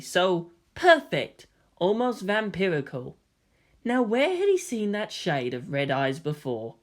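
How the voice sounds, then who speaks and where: A woman reading scripted fiction aloud as an expressive story narrator. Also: mid-range voice.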